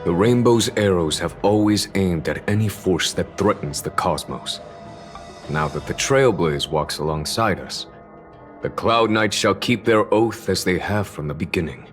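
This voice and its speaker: A man's voice: low-pitched, unhurried. An actor voicing a solemn male commander who speaks resolutely of an old oath. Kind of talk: game voice acting. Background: game music.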